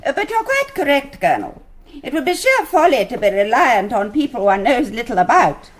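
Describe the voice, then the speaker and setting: A woman's voice: a little high, sing-song, a little fast. An actress playing an elderly, genteel English lady who leads a gang, prim, precise and commanding in a radio drama. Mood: icily polite. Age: older. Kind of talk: British radio drama acting.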